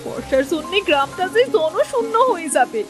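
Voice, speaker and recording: A woman's voice: very high-pitched, very animated, moderate pace, over music. A woman voicing a caring, anxious village wife and mother in a cartoon story, coaxing as she speaks. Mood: worried. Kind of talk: Bengali cartoon story voice acting.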